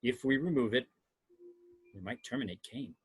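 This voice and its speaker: A man playing a clinical, clipped science officer with a husky voice in an amateur sci-fi table read. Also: mid-range voice, moderate pace. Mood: cool, cautious.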